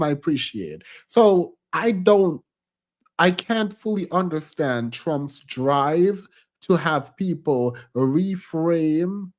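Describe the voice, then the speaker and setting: A man's voice: a little high, a little mellow. A man hosting a commentary channel, talking casually and reflectively about politics while congested from a cold. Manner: chatty and reflective, frequent ums and restarts, occasional laughs.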